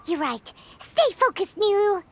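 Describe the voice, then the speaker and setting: A woman voicing a tiny, childlike, excitable companion in an anime game, squeaky and full of exclamations. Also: a little slow, creaky, heavily acted. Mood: eager. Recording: noisy game capture.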